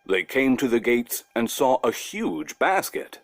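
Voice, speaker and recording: A male storyteller reads a children's tale in a medium-pitched voice. He is expressive and deliberate, with lively intonation, recorded dry and close. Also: no echo. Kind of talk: scripted children's story narration.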